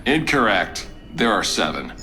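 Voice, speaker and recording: A man performs a chipper artificial captain of a sci-fi cruise ship for a radio play. The delivery is bright and crisp-edged, swinging freely in pitch like a self-satisfied announcer, with faint music behind. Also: exaggerated voice. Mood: matter-of-fact. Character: smug, chipper AI virtual captain of a space cruiser. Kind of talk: scripted audio drama acting.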